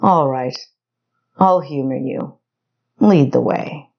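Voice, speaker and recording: A woman voicing a cold, impatient dungeon keeper, a menacing man with a measured, commanding, formal manner in a storybook. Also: a bass voice, gravelly, highs cut off, exaggerated voice. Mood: scornful, commanding.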